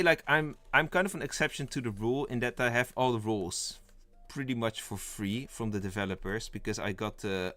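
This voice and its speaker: A man's voice: mid-range voice, bright, forceful tone, no echo. Dutch man chatting on a live stream. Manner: rambling, thinks aloud, reads chat and lists. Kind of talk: casual live-stream chat.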